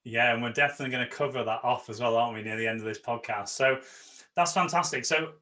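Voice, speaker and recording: A man's voice: medium pitch, quick pace, sharp tone. A man hosting a leadership podcast, upbeat and enthusiastic as he interviews a guest. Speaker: podcast host.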